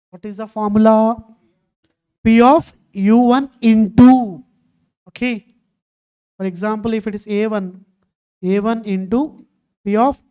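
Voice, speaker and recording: Mellow-voiced woman teaching. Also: medium pitch, clear, resonant tone. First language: Telugu. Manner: keeps quizzing students, calling them "ma". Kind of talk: online exam-prep lecture.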